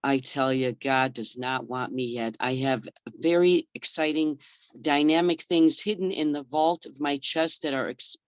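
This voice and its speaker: A man's voice: on the high side, clear, resonant tone, no echo. A man born in the Czech Republic, a fitness trainer, passionately telling his story as a guest on a video call, emphatic and full of long lists.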